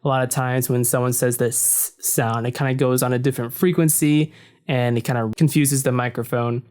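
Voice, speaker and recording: A man with a deep voice narrating a software tutorial in a relaxed, casual way, recorded at a desk in a room without soundproofing. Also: moderate pace, clear, resonant tone. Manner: relaxed and explanatory, with frequent "so" and "uh". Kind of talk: casual screen-recording walkthrough. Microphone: desk mic facing the computer screen.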